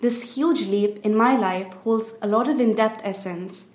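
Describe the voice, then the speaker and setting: A young woman from India with an Indian accent, an undergraduate student reading her personal essay aloud in an earnest, measured way. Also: fairly high pitch, darkish tone. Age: young adult. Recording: roomy echo.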